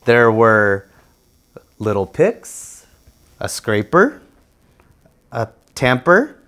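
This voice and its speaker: A man's voice: mid-range voice, sing-song, extremely slow, a bit bright. A man narrating a children's storybook aloud as a guest reader.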